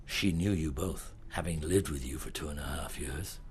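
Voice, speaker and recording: An actor in a radio drama playing a shrewd, relentless middle-aged detective chief, questioning deliberately with pauses in a husky, gravelly voice. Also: male voice, rumbling low, slight background noise. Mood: accusing, measured.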